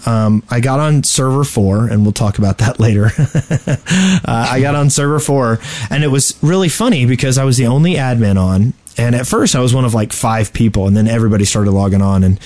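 A man hosts a gaming podcast with a mid-pitched voice and a loose, rambling delivery. He talks casually and jokes as he goes, like someone telling stories among friends. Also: brisk, a little mellow. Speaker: podcast host.

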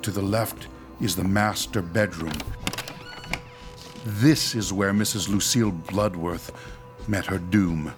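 Stern, low-voiced ghost-hunting doctor. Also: male voice, fairly even intonation, takes it slowly, long pauses, music in the background. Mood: grave, ominous. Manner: formal, precise, pedantic. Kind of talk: radio horror drama acting.

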